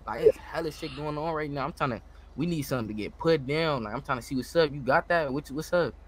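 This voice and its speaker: Fast-talking American man in street slang. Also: on the high side, husky. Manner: slangy, profane, repeats "you feel me". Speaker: online roleplay player. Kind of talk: improvised street gangster roleplay chat.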